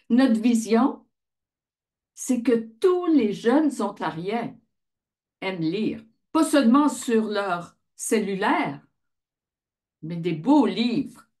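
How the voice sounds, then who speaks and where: A woman's voice: medium pitch, a little mellow. A woman giving an informal explanatory presentation, conversational, asking questions and answering them herself. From Ottawa region, Canada. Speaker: founder of a volunteer reading association, presenter.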